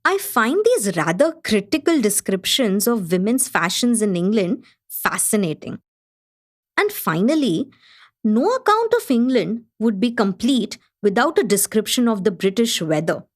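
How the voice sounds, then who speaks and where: Gracious medium-pitched hostess of a literary gathering. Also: female voice, sing-song, measured pace. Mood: intrigued. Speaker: host. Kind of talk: scripted radio play hosting.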